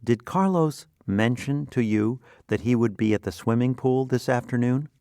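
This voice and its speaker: A man reading scripted educational narration as a vocabulary teacher, with deliberate, instructional enunciation. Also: mid-range voice, dark tone, dry recording, clean studio sound.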